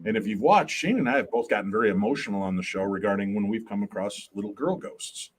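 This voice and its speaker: A man in his fifties, a paranormal investigator guest with a booming voice, telling animated stories on a live podcast. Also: fast, breathy edge. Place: live event stage.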